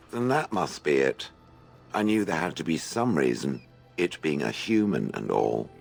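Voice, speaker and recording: Ancient golem, deep, swooping intonation, haughty, sardonic, deliberate phrasing, video game drama. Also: male voice, gravelly, slightly rough, exaggerated voice. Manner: stilted, condescending, deliberate phrasing.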